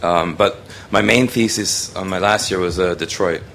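A man's voice: low voice, moderate pace, vocal fry, a bit bright, slight background noise. A young man from Hong Kong giving a rambling, casual presentation of his photography, with many ums and restarts.

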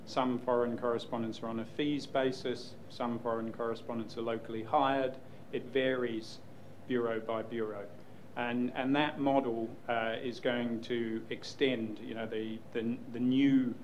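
A man in a question session, answering at length in a measured way with frequent pauses and ums. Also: medium pitch, level tone, slight background noise, rough recording. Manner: measured, hesitant with many ums. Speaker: news executive answering questions. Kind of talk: Q&A panel talk.

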